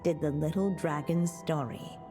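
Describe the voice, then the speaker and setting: Woman as gentle witch mother, lowish and unhurried, tender storybook telling over soft music. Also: close to an everyday voice. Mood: warm storytelling. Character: gentle witch mother speaking tenderly to her dragon child. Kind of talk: storybook narration in an anime game.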